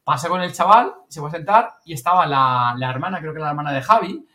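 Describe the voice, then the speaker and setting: A man with a Castilian Spanish accent rattles through a casual story in a bright, slightly high voice. He talks very quickly and colloquially, with the animated manner of a podcast host swapping anecdotes with colleagues. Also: far from the mic. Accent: Spanish (Spain).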